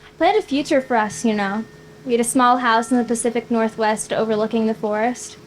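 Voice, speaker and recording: A woman's voice: fairly high pitch, brisk, soft background music, close to an everyday voice, rough recording. A woman reading the part of a wistful, teasing young woman at a screenplay table read. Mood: wistful, confiding. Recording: noticeable background noise.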